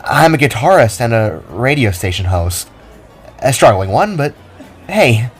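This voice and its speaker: A man's voice: medium pitch, big pitch swings, a little slow, slightly rough, faint music behind. A man voicing an eager, self-mocking female guitarist in an audio drama. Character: eager female guitarist and struggling radio host.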